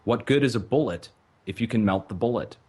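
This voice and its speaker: A man with a lowish voice hosts a call-in broadcast. He speaks from a home microphone and lays out his case in an emphatic, lecturing way, full of rhetorical questions. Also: fairly quick, darkish tone, slightly rough. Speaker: radio show host. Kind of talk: call-in show talk.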